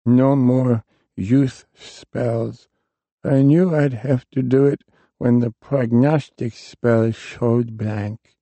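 A man's voice: medium pitch, steady tone, very slow, dark tone, dry recording, pitched above the performer's own voice. A man voicing an ancient, frail male warlock who is dying, with a thin, strained old voice, in an audiobook reading. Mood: weak.

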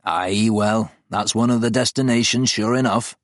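A man's voice: on the low side, normal speed, no room sound, clean studio sound, voice pushed up, close to an everyday voice. A man voicing a worn middle-aged farmer, a know-it-all who speaks emphatic rustic dialect.

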